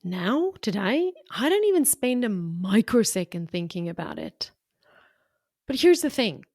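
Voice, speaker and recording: A woman's voice: mid-range voice, sing-song, measured pace, a little mellow, no echo, clean studio sound. A middle-aged woman from South Africa with a mixed South African and Australian accent, hosting a motivational solo podcast for business owners in a frank, encouraging way. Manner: frank, encouraging, punchy short phrases. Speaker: podcast host and business coach.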